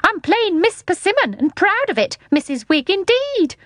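Shrill, thin, indignant spinster. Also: female voice, very animated, normal speed, fairly bright tone, no echo, clean studio sound, heavily acted. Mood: outraged. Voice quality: high, thin. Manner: huffy, clipped indignation. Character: indignant thin elderly spinster, prim and outraged.

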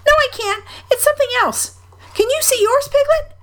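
A woman voicing an eager little male animal child in a children's storybook, squeaky and exclaiming. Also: normal speed, fairly bright tone. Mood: excited, eager. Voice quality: squeaky, very high.

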